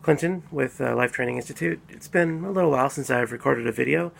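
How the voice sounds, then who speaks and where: A man recovering from a cold, calmly explaining his views in a casual solo video talk, with frequent fillers and restarts. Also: medium pitch, fast talker, gravelly, a little hiss or hum. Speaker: apologetics speaker. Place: at home.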